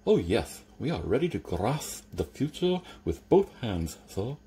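A man playing a calm, patient stitched-up servant. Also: medium pitch, measured pace, slight background noise. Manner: calm, patient, dryly polite. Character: unflappable, deferential stitched-together male servant. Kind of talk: audiobook character reading.

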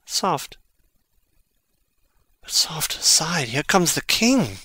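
An actor playing a brooding, impassioned young prince in a dramatic Shakespeare reading, with deliberate theatrical delivery. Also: male voice, a little high, big pitch swings, sharp tone, slightly rough, no room sound, heavily acted. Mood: somber, watchful.

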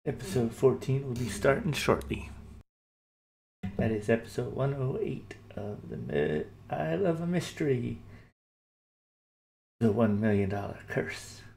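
Gravelly male episode announcer. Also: mid-range voice, animated, unhurried, long pauses, darkish tone. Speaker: stream host announcing episodes. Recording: faint background noise.

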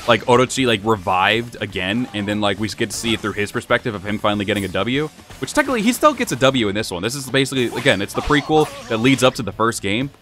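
A fast-talking young man with a lower voice that swings widely in pitch, rambling through a video game playthrough. His voice is slightly hoarse, as if he's losing it, with game music faint behind him. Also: fairly bright tone. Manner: chatty, rambling with many fillers. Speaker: gaming channel host. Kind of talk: casual let's-play commentary.

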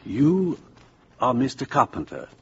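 Animated, formal professor. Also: male voice, mid-range voice, vocal fry, husky, exaggerated voice. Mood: intrigued. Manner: formal, deliberate. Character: learned, courteous, intensely curious professor of science. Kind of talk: 1950s radio drama acting. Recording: dull, hissy 1950s radio recording.

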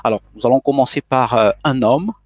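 A man's voice: mid-range voice, fairly quick, no echo, clean studio sound. A man moderating a live online panel in a formal, hesitant way with many fillers. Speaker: webinar moderator.

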